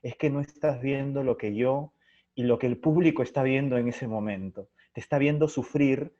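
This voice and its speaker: A man's voice: medium pitch, normal speed, warm tone. A man speaking reflectively and expressively as an interview guest, thinking aloud as he explains. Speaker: interview guest, theatre playwright and director. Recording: muffled lo-fi online call.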